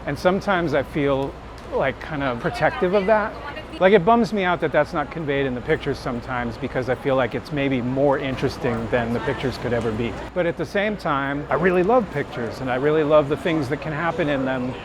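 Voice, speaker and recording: A man's voice: medium pitch, fairly quick. A man who works as a street photographer and teacher, talking casually and reflectively in an earnest, candid, introspective way. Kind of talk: reflective casual talk while walking. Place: outdoors on a busy city street. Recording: noisy city street with traffic. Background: street ambience and vehicles.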